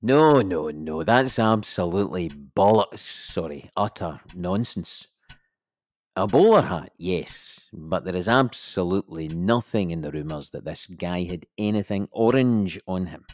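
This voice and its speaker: An actor playing a dry police officer giving a measured, official but blunt account in an audio drama. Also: male voice, sits low, lively intonation, no echo. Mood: indignant.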